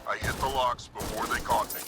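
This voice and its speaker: A man's voice: mid-range voice, steady tone, brisk, natural voice. An actor playing an eager, dutiful young male recruit in a video game, speaking earnestly and deferentially. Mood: eager, respectful. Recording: music behind the voice.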